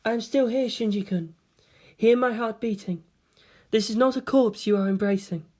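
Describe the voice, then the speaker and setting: A narrator voicing an eerily calm, formal teenage girl in a story reading, with measured and devoted delivery. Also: medium pitch, pitched above the performer's own voice, natural voice. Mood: serene, detached.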